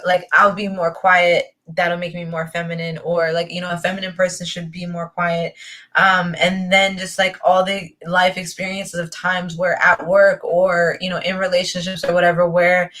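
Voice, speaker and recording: A woman's voice: fairly low pitch, a bit bright. A young woman talking about her own life in a thoughtful, rambling way, full of fillers and restarts. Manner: thoughtful, rambling, heavy on fillers like "like" and "you know". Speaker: spiritual coaching guest or host. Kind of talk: reflective personal talk.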